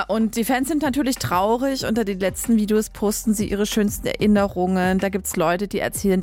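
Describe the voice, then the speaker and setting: A young woman from Leipzig hosting a radio music show, chatting casually and correcting herself as she goes. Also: mid-range voice. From Leipzig, Germany. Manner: chatty and informal, with frequent fillers and self-corrections. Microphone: studio microphone.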